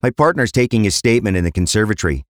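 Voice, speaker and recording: A man acts out a police constable in a crime audiobook. He is earnest and deferential, reporting dutifully. The pitch sits raised above the narrator's own, in a dry recording. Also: expressive, racing, dry recording, close to an everyday voice.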